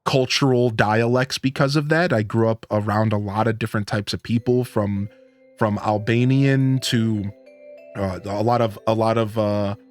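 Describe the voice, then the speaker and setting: A man from Ohio with a Midwestern twang, chatting casually with live-stream viewers in a rambling, laid-back way. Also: lowish voice. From Northeast Ohio, USA.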